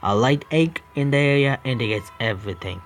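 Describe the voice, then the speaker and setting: Indian english guy reading game text out loud, stumbles a lot, quiet music behind. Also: mid-range voice, lively intonation. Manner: halting, stumbling read with restarts. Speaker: gaming streamer. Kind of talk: reading a visual novel aloud.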